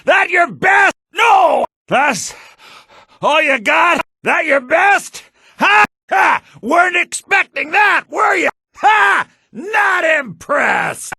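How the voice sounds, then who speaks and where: An actor playing a hot-tempered fantasy villager in video game combat barks, his voice rough and strained. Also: very high voice, animated, slow pace, sharp tone, no echo, raised voice, clean studio sound, full character voice. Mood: aggressive taunting.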